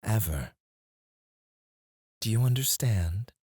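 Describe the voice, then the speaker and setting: A man playing an obsessive, controlling CEO in an intimate ASMR roleplay, speaking in a deliberate, condescending, menacingly doting way with a breathy voice. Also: fairly low pitch, lively intonation, dark tone, no echo, clean studio sound, full character voice. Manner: slow, deliberate, condescending, swinging between murmurs and outbursts.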